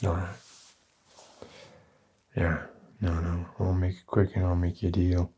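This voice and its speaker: Male performer as gruff, weary middle-aged survivor dad, drawl, very low and husky, soft ASMR roleplay delivery. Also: little pitch movement. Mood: tender, hesitant. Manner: slow, halting, gentle drawl.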